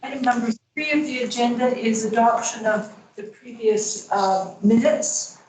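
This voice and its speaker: A woman chairing a board meeting, running formal procedure in a deliberate way with frequent hesitations. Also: a little high, a little mellow, slight background noise. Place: school board meeting room. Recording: distant mic in an echoey meeting room.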